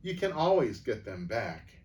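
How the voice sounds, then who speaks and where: A man voicing a shrill, whining old hag who fawns and wheedles in a storybook reading. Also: very animated, unhurried, darkish tone. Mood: wheedling.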